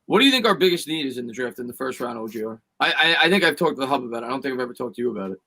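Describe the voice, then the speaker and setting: A man's voice: medium pitch, animated, racing, pushed and bright. A man co-hosting a casual sports livestream, chatting with viewers and fellow panelists. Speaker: sports stream co-host.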